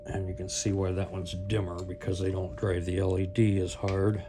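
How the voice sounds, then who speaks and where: A man's voice: sits low, moderate pace, over music. A man giving a casual, unscripted product demonstration, rambling and thinking aloud as he goes. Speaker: product review video host. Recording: clear steady hum and background noise.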